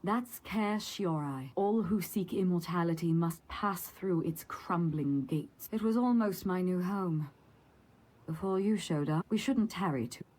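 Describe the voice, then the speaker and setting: Husky, guarded fantasy guide. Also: female voice, on the low side, unhurried, darkish tone, rough recording. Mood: composed. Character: guarded, composed female guide in a fantasy realm. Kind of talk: scripted game voice acting.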